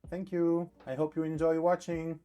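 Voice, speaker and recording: A man hosting his channel, greeting viewers in a relaxed and slightly apologetic way. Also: a little high, normal speed. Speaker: channel host. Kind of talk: video intro to viewers.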